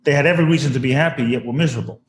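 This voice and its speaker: A man's voice: medium pitch, rapid-fire, reverberant, a little hiss or hum. A man from Ghana, a spiritual teacher telling his life story earnestly in an interview over a video call, repeating words for emphasis.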